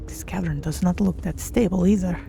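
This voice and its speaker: Woman as female feline fantasy adventurer, curious and careful, formal wording, creaky fry, clear noise behind her. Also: on the low side, dark tone. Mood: wary curiosity. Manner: deliberate, formal phrasing without contractions. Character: curious, cautious female Khajiit cat-folk adventurer. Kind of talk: in-character game playthrough narration. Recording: clear background noise under voice.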